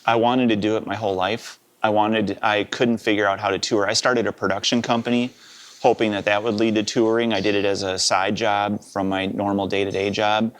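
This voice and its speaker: Wisconsin man in his fifties, sound engineer guest, low voice, easygoing and chatty. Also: a little fast. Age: 50s. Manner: relaxed, rambling, with many "uh" and "you know". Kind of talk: casual interview storytelling.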